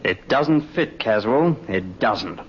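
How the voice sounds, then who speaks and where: An actor playing a sceptical, observant investigator in an old radio drama, talking informally and full of questions. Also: male voice, on the low side, lively intonation, slow. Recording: muffled, noisy vintage radio recording.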